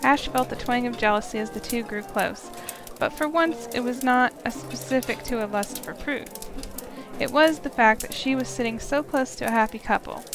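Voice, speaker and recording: Casting: female narrator for scripted fantasy, fairly high voice over clearly audible music. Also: moderate pace. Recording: voice under prominent music.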